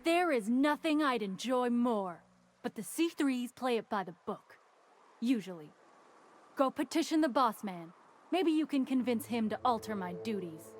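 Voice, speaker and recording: A folksy frontier station guard. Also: female voice, fairly high pitch, expressive, a little slow, soft background music. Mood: wry. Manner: drawling, folksy. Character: folksy armed woman guard, wary but easygoing.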